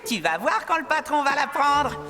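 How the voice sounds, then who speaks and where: A cheeky little cartoon adventurer, high in pitch and very lively in melody. The manner is breezy, bold and teasing, over music. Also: female voice, normal speed. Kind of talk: French cartoon dub. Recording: music behind the voice.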